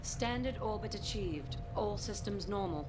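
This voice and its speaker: Ship AI for a game, female actor: mid-pitched, composed, crisp, drily sardonic, score underneath. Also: expressive, measured pace, natural voice. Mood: matter-of-fact. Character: cool, wry female ship's artificial intelligence. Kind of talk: video game cutscene acting. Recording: game music behind.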